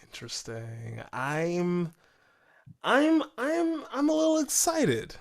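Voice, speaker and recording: A man's voice: high voice, big pitch swings, takes it slowly, gravelly. A man co-hosting a podcast, chatting casually and repeating his phrases. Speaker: podcast co-host. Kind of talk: casual chat.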